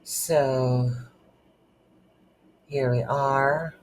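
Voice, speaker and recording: A tutorial instructor thinking aloud in a halting, unscripted way while demonstrating game development on a computer. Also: medium pitch, fairly even intonation, low-quality recording. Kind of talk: unscripted screen-recorded software tutorial. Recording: background hiss, keyboard typing noise.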